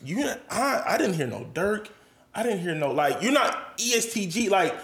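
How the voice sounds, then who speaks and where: A man's voice: high-pitched, big pitch swings, moderate pace, fairly bright tone, reverberant. A man from Columbus, Ohio, co-hosting a casual chat, slangy and profane, full of "like" and "you know".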